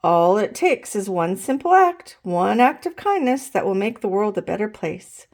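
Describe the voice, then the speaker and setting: A woman's voice: medium pitch, sing-song, moderate pace, darkish tone. A woman voicing an upbeat, encouraging female kindergarten teacher in a storybook, with an exclaiming, sing-song manner.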